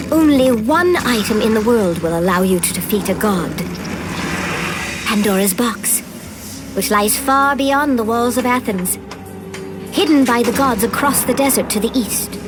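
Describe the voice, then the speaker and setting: An actress playing a mysterious oracle of ancient Greece, theatrical, ominous and deliberate, in a video game. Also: female voice, fairly high pitch, natural voice. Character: mysterious, foreboding female oracle of ancient Athens. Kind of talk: dramatic video game acting.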